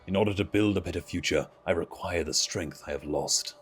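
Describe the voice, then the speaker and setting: A man voicing a stern, commanding immortal ancient from a fantasy game in formal, solemn, measured declarations. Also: low-pitched, natural voice. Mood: grave, resolute. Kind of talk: dramatic reading of fantasy game dialogue. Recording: music under the voice.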